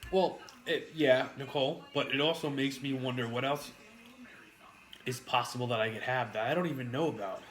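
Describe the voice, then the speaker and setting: A man's voice: medium pitch, normal speed. A man with a New York accent eating on a live stream, rambling to his chat with frequent swearing and jokes. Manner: rambling, profane, jokey with chat.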